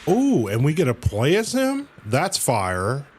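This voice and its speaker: A man's voice: fairly high pitch, huge pitch swings, slow, background noise. A man giving casual commentary as he livestreams a video game, reading some of its dialogue aloud. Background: video game music.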